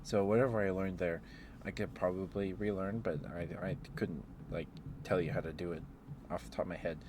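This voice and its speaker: Low-voiced man rambling in ASMR, noisy recording. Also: fairly even intonation, brisk. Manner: rambling, playful. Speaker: streamer hosting a live ASMR stream. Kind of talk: ASMR, casual rambling chat to live viewers.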